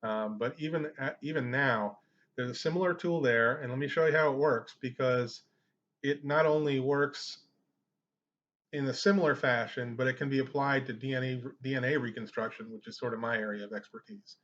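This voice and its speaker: A man's voice: mid-range voice, moderate pace, fairly bright tone. A man presenting an informal screen-share tutorial, explaining things in a conversational way. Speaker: genealogy tutorial host. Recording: dull lo-fi microphone sound.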